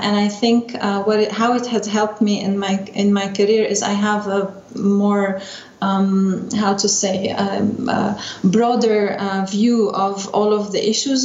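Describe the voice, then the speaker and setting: A woman's voice: medium pitch, level tone, darkish tone. Middle-aged female interview guest from Lebanon, hesitant delivery, dull recording with room echo. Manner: hesitant, searching for words with frequent pauses. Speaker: interview guest, energy division chief. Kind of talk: unscripted interview answers. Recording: dull lo-fi roomy sound, faint noise.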